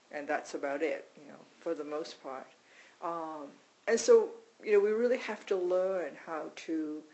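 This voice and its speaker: Gravelly, halting meditation teacher. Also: medium pitch, darkish tone, low-quality recording. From Canada. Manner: halting and self-deprecating, with frequent restarts. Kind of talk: talk at a mindfulness retreat.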